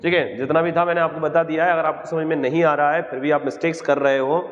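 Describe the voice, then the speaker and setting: An Indian-accented man gives an informal grammar lesson in a fairly high-pitched voice. He repeats phrases step by step and poses questions that he then answers. The recording is dull and lo-fi, with long reverb around his voice. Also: steady tone, quick pace. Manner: asks questions and answers them himself. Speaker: English grammar teacher. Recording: reverberant hall-like echo, dull lo-fi sound.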